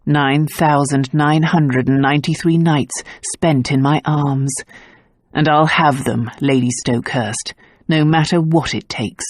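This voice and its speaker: Gruff devoted husband, lowered voice, dry close read, as a woman narrator puts him on. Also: steady tone, a little slow, no echo. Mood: tender. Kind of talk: audiobook character voice.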